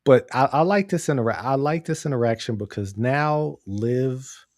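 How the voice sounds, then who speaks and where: A man's voice: mid-range voice, expressive, moderate pace, darkish tone, no echo, studio quality. A man co-hosting a podcast in casual chat, giving his opinions and repeating phrases for emphasis. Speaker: podcast co-host. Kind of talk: casual chat, pro wrestling commentary.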